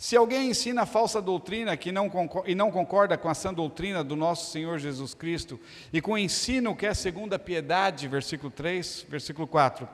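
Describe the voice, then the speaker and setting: A man's voice: high voice, a little fast. A man from Brazil preaching a sermon in a church, joking one moment and stressing lists of words the next. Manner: humorous, storytelling, emphatic lists. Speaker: pastor. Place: church hall. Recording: church hall reverb.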